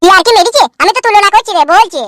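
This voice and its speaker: A man voicing a shameless young son-in-law in a cartoon, pleading and foul-mouthed, with a pitched-up voice. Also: racing, very noisy. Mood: defensive.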